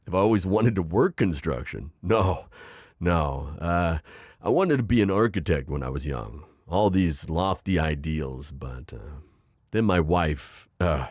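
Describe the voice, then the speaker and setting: A man playing a deep-voiced, bashful divorced dad. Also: no room sound, clean studio sound, heavily acted. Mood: wry. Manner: hesitant, full of ums and stammers, joking softly. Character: gentle, self-deprecating divorced middle-aged construction-worker dad. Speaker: voice actor. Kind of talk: ASMR roleplay.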